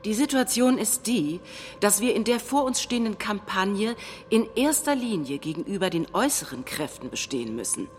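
A woman acting in documentary theatre, playing a combative, self-assured nationalist leader giving a measured speech. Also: medium pitch, expressive, natural voice. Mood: measured, defiant. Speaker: actor in a political documentary theatre piece. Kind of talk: staged reading of a political speech. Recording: long hall reverb, faint music.

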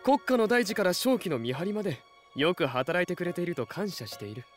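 A man's voice: high voice, lively intonation, a little fast, over music. A man acting in a Japanese audio drama as a composed, formal young emperor who teases dryly and dotes on his wife.

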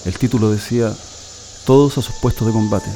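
A man's voice: on the low side, fairly even intonation, brisk, over music, lightly acted, rough recording. An actor with a Chilean accent playing an older leftist man looking back nostalgically and earnestly in a radio drama. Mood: nostalgic, proud. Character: older Chilean leftist man warmly reminiscing about his militant youth.

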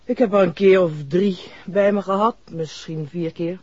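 A woman acts a reserved, mysterious lady doctor in an old radio drama. Her voice is husky, her delivery formal and deliberate. The recording is close and dry, with a dulled vintage tone. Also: lowish voice, fairly even intonation, a little mellow, no room sound, lo-fi sound, lightly acted. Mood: guarded, reflective. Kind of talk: 1960s Dutch radio play acting.

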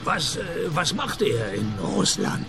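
A weary male private eye, gravelly and dry, with deadpan wit and lively pitch movement. Loud music plays behind this hard-boiled radio drama. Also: a little slow, heavily acted. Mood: probing, dry. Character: laconic, world-weary male private detective narrating his case. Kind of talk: hard-boiled radio play narration and dialogue.

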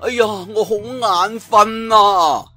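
A man with a Cantonese accent voicing a childish, excitable, sleepy young boy in an audiobook, with whiny, babyish delivery. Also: sits high, takes it slowly, faint music behind, voice pushed up, exaggerated voice.

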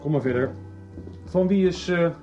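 A male actor as a businesslike police detective in a radio soap. His voice is fairly high and mellow, measured and in charge. The recording is a little dull, with faint music. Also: lightly acted. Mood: composed, businesslike. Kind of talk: 1990s radio soap acting.

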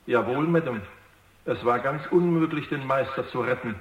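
An actor playing a new robot in a radio drama, polite and earnest, deferential and a little hesitant, with an echoing effect on the voice. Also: male voice, mid-range voice, moderate pace, muffled recording. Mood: earnest, reasoning. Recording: echoey, roomy radio drama production.

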